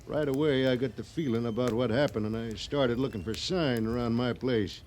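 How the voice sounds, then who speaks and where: Man acting a vengeful frontier scout, rustic and insistent, radio western, medium pitch, noisy old recording. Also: normal speed, darkish tone, natural voice. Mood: angry. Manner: rough rustic grammar, insistent. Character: bitter, vengeful frontier army scout and stepfather.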